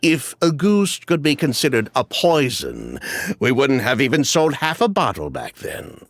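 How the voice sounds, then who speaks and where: A slick middle-aged ex-con, who talks with a hugely rising and falling, sing-song lilt and brushes things off. Evasive and chatty, in a dry studio game recording. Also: male voice, mid-range voice, wildly swooping pitch, unhurried, no room sound, full character voice. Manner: evasive, chatty, with dismissive laughs. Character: smooth middle-aged male ex-perfume swindler. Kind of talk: video game voice acting.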